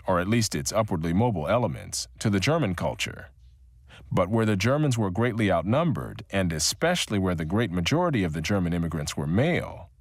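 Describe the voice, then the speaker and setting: A man reading a history audiobook in a measured, even-toned narration. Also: deep voice, no echo, studio quality.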